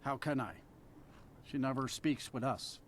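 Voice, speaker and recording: A man's voice: mid-range voice, a little slow, slight background noise, lightly acted. An actor playing a disgruntled, suspicious townsman in a fantasy game, grumbling bitterly. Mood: bitter, worried. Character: disgruntled, suspicious male townsman fed up with his ruler. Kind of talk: dramatic game dialogue.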